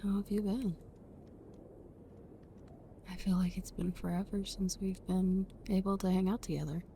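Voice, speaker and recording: A woman playing an affectionate, playfully teasing young friend in an ASMR roleplay, speaking in a soft, breathy, often whispered voice with a gentle, intimate manner. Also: medium pitch, fairly even intonation, normal speed, slight background noise, lightly acted. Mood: warm, interested.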